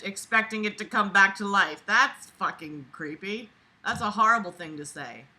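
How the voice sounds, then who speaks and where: A woman's voice: mid-range voice, pushed and bright, poor audio. A woman reading a book aloud and breaking in with sarcastic, exasperated, foul-mouthed commentary. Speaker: online book reader and commentator.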